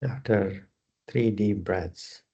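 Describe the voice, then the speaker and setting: A man teaching yoga, slowly and calmly guiding a relaxation meditation in short, spare phrases. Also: lowish voice, slightly rough, dull audio. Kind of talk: guided relaxation meditation instruction.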